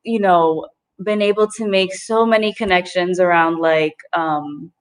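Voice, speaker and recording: A woman's voice: on the low side, fairly even intonation, measured pace. A young woman from Puerto Rico speaking thoughtfully as a panelist, with many "like" and "you know" fillers. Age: young adult. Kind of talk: casual panel discussion.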